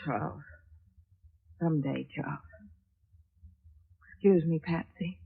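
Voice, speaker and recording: An actress playing a wealthy, high-strung young woman in an emotional, theatrical 1940s radio drama style. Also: slow, gravelly, husky, exaggerated voice. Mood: defiant. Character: wealthy, anxious, high-strung young heiress, pleading and imperious. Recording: dull 1940s radio recording.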